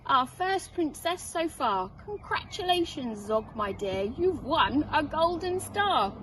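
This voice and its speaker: Woman voicing a commanding high-pitched dragon schoolmistress. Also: very animated, takes it slowly, a bit bright, full character voice. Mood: proudly approving. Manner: grand, instructive, exclamatory. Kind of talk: children's storybook read-aloud. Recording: distant mic, faint noise.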